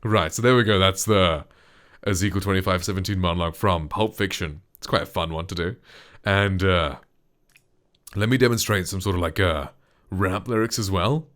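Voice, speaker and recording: A man with a deep, dark voice, a voice actor chatting casually while reviewing a studio microphone he speaks into up close. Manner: relaxed and chatty, lots of loose filler words. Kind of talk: casual gear review with voice demos. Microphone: close studio condenser microphone.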